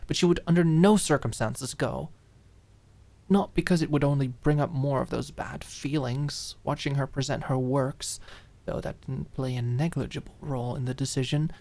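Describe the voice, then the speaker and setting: Low-voiced woman narrating a dramatic audiobook. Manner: expressive, deliberate, lingering on key phrases.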